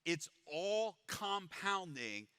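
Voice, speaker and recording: A man presenting a compensation plan at a live event, energetic and repeating how powerful it is. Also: drawn-out words, smooth, dry recording. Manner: energetic salesman pitch, repeats "it's powerful".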